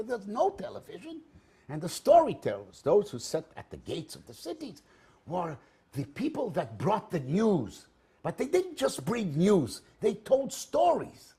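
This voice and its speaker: Husky Israeli man telling stories animatedly. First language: Hebrew. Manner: lively storytelling, big swings in pitch and loudness, laughs at own jokes. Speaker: guest speaker. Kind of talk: animated public talk with anecdotes. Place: event hall in front of an audience.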